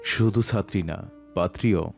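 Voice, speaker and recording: A man acts out a young male university teacher with a lowish voice. He is stern and composed, speaking with measured authority in an audio story. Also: creaky, faint music behind. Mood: muttering, teasing.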